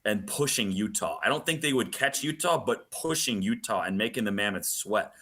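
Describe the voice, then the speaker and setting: A man with a slightly low, bright and forceful voice works as a sports announcer. He is a guest on a radio show, laying out detailed analysis with emphasis. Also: moderate pace.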